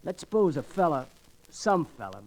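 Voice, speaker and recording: High-pitched, halting young cowhand. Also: male voice, sing-song. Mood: uneasy. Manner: halting, folksy. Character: earnest, awkward young male cowhand. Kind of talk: old-time radio western acting. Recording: noisy vintage radio recording.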